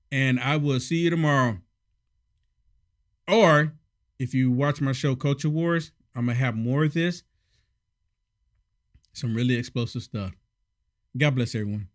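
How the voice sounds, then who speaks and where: A man hosting casual political commentary, sarcastic and emphatic, a little hoarse from a head cold. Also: medium pitch, unhurried, long pauses.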